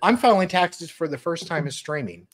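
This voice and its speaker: A woman's voice: lowish voice, expressive, moderate pace. A woman chatting casually as a panelist on a livestream. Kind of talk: casual chat.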